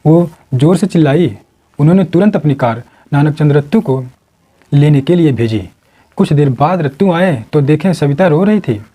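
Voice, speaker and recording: A man tells a dramatic story from a script. His voice sits a little high for a male, with a soft, dark and mellow tone that stays rounded. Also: animated, normal speed, breathy edge. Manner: acts out quoted dialogue within the narration. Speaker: narrator.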